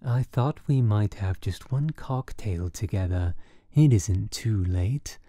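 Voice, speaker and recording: Woman doing a young guy acting all suave and grown up, audiobook, real low n slow. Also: expressive, mellow, no echo, studio quality, exaggerated voice. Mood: suave, coaxing. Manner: deliberately suave, mature, polite. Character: young man feigning suave maturity on the phone.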